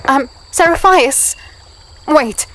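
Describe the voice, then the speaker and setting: A woman playing a guarded, curious young human captive in a dark fantasy audio drama, hesitant, polite and introspective. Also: sits high, takes it slowly, noisy background. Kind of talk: first-person audio drama narration and dialogue.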